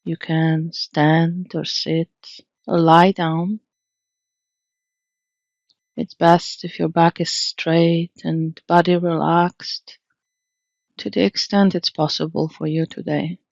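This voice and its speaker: A woman leading an unscripted guided meditation in a calm, soothing manner, leaving long pauses between phrases. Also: on the low side, vocal fry, no echo, highs cut off.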